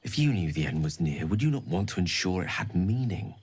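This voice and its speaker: An actor playing an eloquent, melancholy wizard in a fantasy game, speaking in an intimate, poetic and measured way. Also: male voice, deep voice, sing-song, darkish tone, husky, full character voice. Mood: grave, resigned. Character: romantic eloquent wizard man, wistful and melancholy. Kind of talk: fantasy video game dialogue acting.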